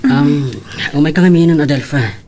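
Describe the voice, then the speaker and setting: A man's voice: a little high, normal speed, a little mellow, slight background noise, natural voice. A man with an Ilocano accent playing a courteous, reserved driver and suitor in a radio drama. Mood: hesitant, polite.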